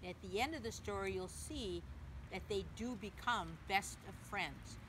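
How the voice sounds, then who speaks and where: Lady doing storytime for little kids, gentle simple wording, chats with the listener, noisy recording. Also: mid-range voice, moderate pace. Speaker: children's story reader, teacher. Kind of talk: read-aloud storytime for young children. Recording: clearly noisy background.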